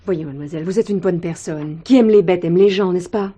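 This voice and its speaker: A woman's voice: fairly low pitch, fairly quick, dark tone, husky. An actress playing a policeman's earnest wife, polite but pleadingly persuasive, in a theatrical drama. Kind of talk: French stage or TV play acting.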